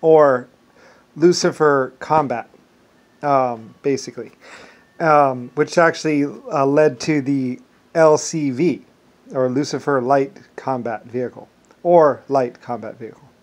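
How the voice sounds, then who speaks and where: A man in his forties talking casually to camera in a rambling, halting way, often repeating words for emphasis. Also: medium pitch. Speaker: vlogger. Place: small wood-furnished room at home. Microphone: phone or webcam at arm's length.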